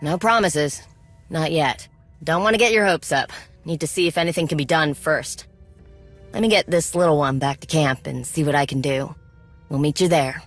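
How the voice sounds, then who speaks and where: A proud warrior mechanic in a video game. She talks in a practical, guarded way with a wry edge. Music sits softly underneath. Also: low-pitched, normal speed. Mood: guarded concern. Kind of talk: video game cutscene acting.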